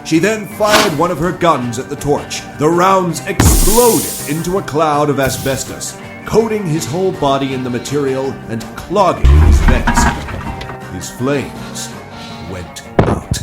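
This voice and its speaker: A man's voice: a little high, expressive, unhurried. A man giving dramatic scripted narration for an audio drama. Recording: loud music behind.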